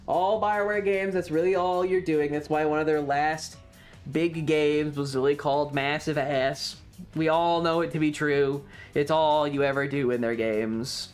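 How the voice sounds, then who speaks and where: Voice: mid-range voice, steady tone, a little slow, clear, resonant tone. Rambling, wry streamer with music behind. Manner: rambling, wry and jokey, mildly crude. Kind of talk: casual livestream chat. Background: background music.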